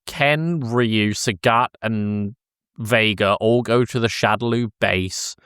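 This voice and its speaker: A man co-hosting a podcast, casually recapping a film in a deadpan, exasperated comic manner. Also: fairly low pitch, slow, clear, resonant tone, no room sound, studio quality. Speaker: podcast co-host.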